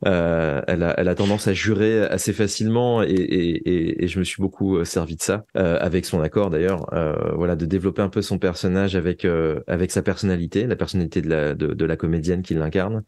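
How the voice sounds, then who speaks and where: A man with a low voice gives conversational interview answers as a guest. He often pauses to search for words and repeats small phrases. The sound is dry, with hardly any room around it. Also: steady tone, darkish tone, no echo. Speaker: guest, audio fiction writer.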